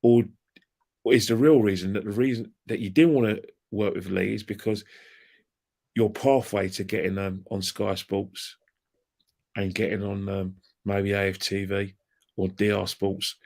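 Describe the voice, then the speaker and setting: Ranting man with a low voice. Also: normal speed, gravelly. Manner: animated, ranting, colourful insults. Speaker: fan channel guest. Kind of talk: heated casual chat.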